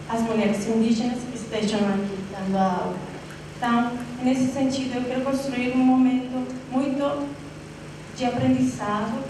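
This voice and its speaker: Colombian woman lecturing in an echoey hall. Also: mid-range voice. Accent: Colombian Spanish. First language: Spanish. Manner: deliberate, searching phrasing with many pauses. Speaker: university lecturer. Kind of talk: university lecture. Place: university classroom or auditorium. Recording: echoey hall from far off, noisy.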